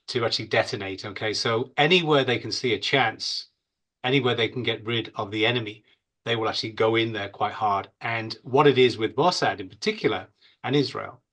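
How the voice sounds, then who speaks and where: A man speaks as an expert guest in an interview. His voice is medium in pitch, bright and forceful. He explains off the cuff, emphatic yet conversational, leaning on stock phrases.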